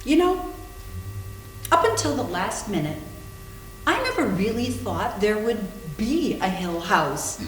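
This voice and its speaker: A woman's voice: medium pitch, big pitch swings, takes it slowly, pauses often. A woman playing a bold, witty young female houseguest with a chatty, wry manner in a staged reading. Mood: breezy. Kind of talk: staged readers theater. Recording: roomy, echoing hall with hum.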